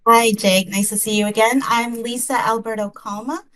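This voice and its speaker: A woman's voice: a little high, fairly even intonation, moderate pace, a bit bright. A woman from Connecticut, an onboarding manager, talking in a bubbly, eager way.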